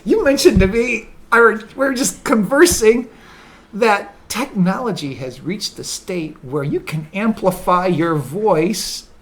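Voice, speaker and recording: Slow-spoken woman hosting an interview. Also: mid-range voice, lively intonation. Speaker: host. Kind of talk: conversational interview.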